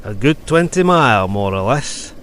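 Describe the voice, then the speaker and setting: An actor playing a gruff, grudging country man with a northern English accent in a period audio drama, terse and full of dialect. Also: medium pitch, sing-song, moderate pace, a bit bright, slight background noise. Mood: grudging, dour. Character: dour, gruff rustic moorland man with a lantern.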